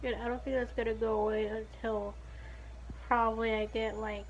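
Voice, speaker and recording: A woman's voice: a little high, level tone, normal speed. A young woman talking casually to a phone camera in her living room, her voice congested and her lips stiff after nose surgery. She rambles chattily, with many fillers. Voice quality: stiff-lipped, slightly muffled speech. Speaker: beauty vlogger. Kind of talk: casual video diary. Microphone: phone camera at arm's length.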